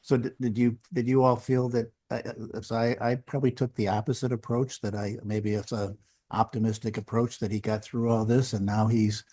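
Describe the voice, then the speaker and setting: An older man hosting a casual book discussion, halting and lightly jocular. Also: medium pitch, flat delivery, mellow. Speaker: book club host.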